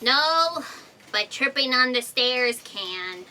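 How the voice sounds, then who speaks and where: A woman's voice: high-pitched, expressive, slow, pushed and bright, a little hiss or hum, full character voice, rough recording. A woman playing a flustered, clumsy, literal-minded fact checker who rambles in exasperation in a comedy audio drama.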